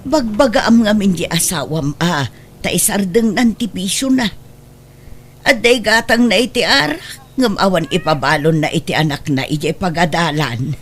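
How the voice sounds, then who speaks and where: A woman's voice: fairly low pitch, moderate pace, natural voice. An actress with a Filipino accent playing an older, worried mother and grandmother who scolds and laments in a radio drama. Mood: reproachful. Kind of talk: Ilocano radio drama acting.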